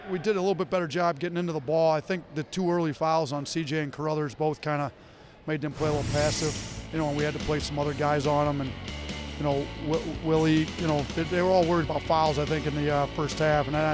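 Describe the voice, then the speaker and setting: A man in his thirties, a head basketball coach, answering post-game questions courtside in a steady, filler-heavy way into a handheld mic. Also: on the high side. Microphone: reporter's handheld mic.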